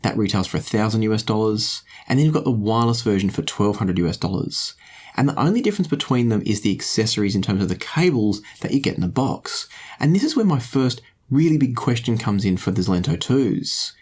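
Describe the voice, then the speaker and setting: A man with a fairly low voice, talking through a product review for an audience. He speaks in a measured, conversational way and often thinks aloud, and he keeps asking viewers to share their opinions. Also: lively intonation, a little mellow, husky. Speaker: audio gear reviewer.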